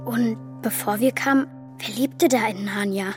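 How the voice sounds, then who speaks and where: An actress playing an earnest, dreamy young prince with a childlike way of talking in a children's radio play. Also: female voice, a little high, moderate pace, close to an everyday voice. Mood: curious. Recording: music under the voice.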